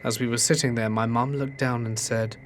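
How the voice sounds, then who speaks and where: A man narrating true ghost stories in a steady storytelling delivery, reading submitted accounts. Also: mid-range voice, a little fast, faint music behind.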